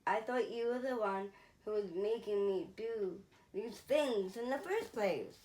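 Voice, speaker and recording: A woman's voice: mid-range voice, unhurried, darkish tone, roomy sound, lightly acted. Lady reading as a little storybook boy, earnest and curious, asking simple questions. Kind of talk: storybook character voice in a read-aloud.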